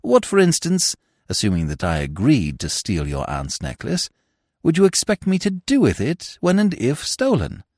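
A man voicing a suave, unflappable young English gentleman in an audiobook, wordy, elaborately formal and drily courteous. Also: medium pitch, wildly swooping pitch, moderate pace, dry recording, studio quality. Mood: patient, quizzical. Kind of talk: audiobook character voice.

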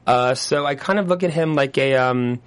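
A young man from New Hampshire, guest in a casual interview, animated and gossipy, often repeating phrases. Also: mid-range voice, normal speed, clean tone.

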